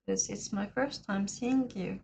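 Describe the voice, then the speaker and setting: Brief: hoarse, raspy woman, unhurried casual streamer chat, easygoing with frequent laughs. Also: medium pitch, darkish tone, a little hiss or hum, rough recording. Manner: laid-back, laughs often. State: hoarse today. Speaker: livestream host.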